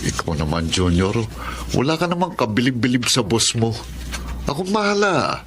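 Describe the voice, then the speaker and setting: A man's voice: mid-range voice, very animated, moderate pace, slight background noise. An actor playing an earnest, long-absent policeman father in a melodramatic radio drama, with a Filipino accent. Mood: confident. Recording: loud music under the voice.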